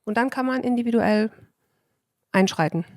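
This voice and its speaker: A woman's voice: on the high side, moderate pace, no room sound, clean studio sound. Emphatic woman doctor explaining at length. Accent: German. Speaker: physician guest. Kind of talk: casual podcast conversation.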